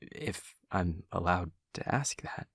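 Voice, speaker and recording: An awkward young demon student, acted by a man for an audio roleplay, with a low, husky voice recorded close and dry. He is earnest and painfully literal, choosing formal, precise words and pausing as he over-explains. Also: expressive, fairly bright tone, dry recording, heavily acted. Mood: earnest, caring. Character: awkward, earnest, literal-minded male demon classmate and friend. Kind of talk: intimate audio roleplay acting.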